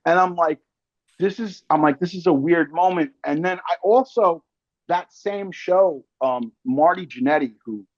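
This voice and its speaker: A man with a fairly high voice hosts a casual podcast, close and dry on a slightly dull recording. He tells stories in loose slang, with swearing and acted-out quotes. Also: measured pace, no room sound. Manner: animated storytelling, peppered with slang, swearing and quoted asides. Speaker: podcast host.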